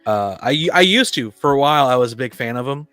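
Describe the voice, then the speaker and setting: Halting, rambling man in casual discussion. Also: mid-range voice, expressive, a bit bright, clear, resonant tone, no echo, soft background music. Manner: halting, rambling, repeats words. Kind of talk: casual discussion of tabletop game design.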